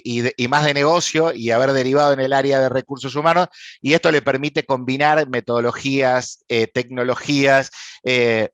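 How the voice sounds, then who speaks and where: A man's voice: mid-range voice, fairly bright tone, dry recording, highs cut off. A man with an Argentine accent moderating an online panel, introducing speakers enthusiastically with frequent hesitations and fillers.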